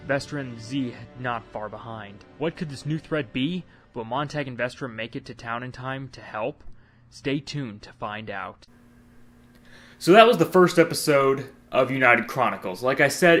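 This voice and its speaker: A man's voice: medium pitch, a bit bright. A man presenting and narrating his own amateur audio drama, in storybook style with informal announcements.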